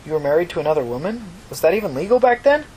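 A man's voice: a little high, big pitch swings, very fast, a little mellow, slight background noise, voice pushed up, poor audio. A man voicing an earnest, inquisitive young woman in a story reading, blunt yet sympathetic. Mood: curious.

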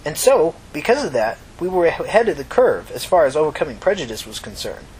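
A man's voice: fairly high pitch, big pitch swings, a little fast, darkish tone, slight background noise, poor audio. A man voicing a kindly, talkative old mother from New York in a story reading, folksy, reflective and reassuring. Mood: reflective, encouraging. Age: older.

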